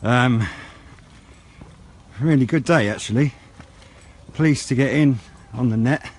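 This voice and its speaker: A British man chatting casually in a vlog, with a rambling, unhurried, low-key way of talking. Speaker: radio hobbyist vlogger. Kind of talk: casual outdoor vlog chat. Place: outdoors on wooded high ground by a road. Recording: outdoor noise with passing vehicles.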